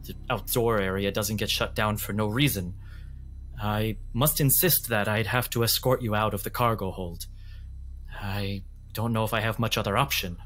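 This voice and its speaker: A man's voice: fairly low pitch, normal speed, fairly bright tone, a little hiss or hum, natural voice. A man voicing a polite, dutiful ship's crewman, apologetic yet insistent, in a fantasy role-play podcast. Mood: politely insistent. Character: polite, dutiful male cargo ship crewman.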